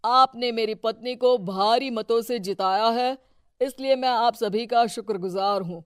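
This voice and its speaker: A woman's voice: fairly high pitch, a little slow, smooth, dry recording, studio quality, lightly acted. A woman voicing a corrupt, bossy male local politician in a cartoon story, with a pompous, commanding manner. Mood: pompous oratory. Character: domineering, corrupt male village-politician husband, smug and bossy. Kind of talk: scripted cartoon story dialogue.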